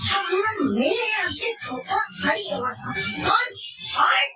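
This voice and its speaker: A woman voicing a vengeful ghost of a bus worker in a horror audio story, shouting drawn-out threats in fury. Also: very high voice, expressive, pitched above the performer's own voice. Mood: furious, threatening. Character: furious brawling ghost of a bus-crew man, threatening, vengeful. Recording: echoey, distant, music underneath.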